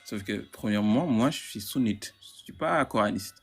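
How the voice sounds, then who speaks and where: A man's voice: fairly low pitch, animated, moderate pace, slightly rough. A man caller in a heated debate, stammering and repeating words as he insists on his point.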